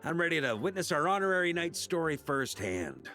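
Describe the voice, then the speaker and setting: An actor voicing a jovial, genial hearty knight in a fantasy video game. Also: male voice, a little high, very animated, a little fast, music in the background. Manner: genial, casual. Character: jovial, hearty knight who enjoys drinking. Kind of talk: video game character acting.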